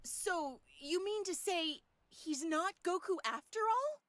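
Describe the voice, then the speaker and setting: A woman's voice: very high-pitched, lively intonation, slow pace, fairly bright tone, no room sound, heavily acted. A woman voicing a brash, hot-tempered, sarcastic woman in an anime video game, with an exclamatory, sassy manner. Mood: surprised.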